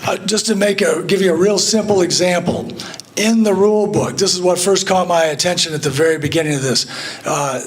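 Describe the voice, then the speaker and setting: Halting man. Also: fairly high pitch. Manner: plain, halting, many fillers. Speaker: club volunteer organizer. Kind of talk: casual public talk at a meeting.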